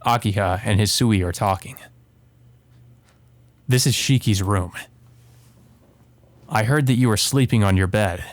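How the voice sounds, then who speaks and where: Low-voiced man reading a game aloud. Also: a little slow, long pauses, no room sound. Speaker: let's play host. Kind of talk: reading visual novel narration aloud with casual asides. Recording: music playing behind the voice. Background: game music.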